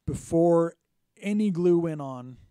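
Slightly hoarse man, mellow podcast voice. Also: fairly high pitch, animated, slow, dry recording. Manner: chatty, explains step by step with restarts. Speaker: podcast co-host. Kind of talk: casual hobby podcast chat. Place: small home workshop indoors. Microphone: studio mic on boom arm, close. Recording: dry close podcast mic.

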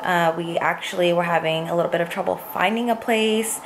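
A young woman vlogging from her kitchen, slightly raspy from a cold, chatting in a rambling way with many asides, filmed on a handheld phone. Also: on the low side, brisk, background noise. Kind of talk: casual chat. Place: home kitchen, indoors. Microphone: handheld phone camera at arm's length.

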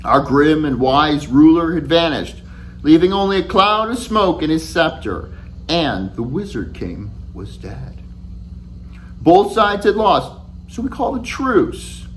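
Male author, forties, expressive storybook read, very varied intonation, chatty delivery, faint hum behind. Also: fairly high pitch, unhurried, low-quality recording. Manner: animated, conversational first-person storytelling. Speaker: author reading own book aloud. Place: small indoor room at home with shelves.

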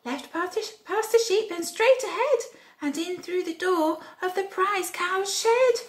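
A woman's voice: very high voice, a little slow, pitched above the performer's own voice. A woman voicing a little storybook ladybird who earnestly passes on a secret plan in careful, sing-song phrases. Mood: earnest, urgent. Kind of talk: children's storybook character voice. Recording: echoey room.

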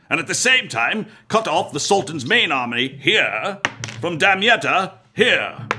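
An actor playing a pompous, zealous Templar commander in a historical audio drama, lecturing expansively and emphatically. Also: male voice, on the high side, noisy background.

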